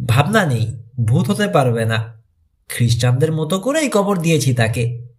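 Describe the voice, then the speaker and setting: Friendly teenage adventurer read by a male narrator, earnest and chatty, lively pitch movement, for a mystery audiobook. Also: moderate pace. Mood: matter-of-fact, teasing.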